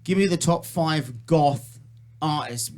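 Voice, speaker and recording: A man's voice: on the high side, slow, darkish tone. A man hosting a casual talk show on an internet radio station. He speaks bluntly, swears often and presses his guests for straight answers. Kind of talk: casual studio chat.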